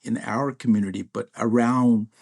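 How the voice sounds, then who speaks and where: A man's voice: medium pitch, steady tone, moderate pace, vocal fry, a little mellow, slightly rough. A man hosting an interview as a pastor, speaking in a reflective way with frequent restarts. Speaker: pastor and host. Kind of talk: interview conversation.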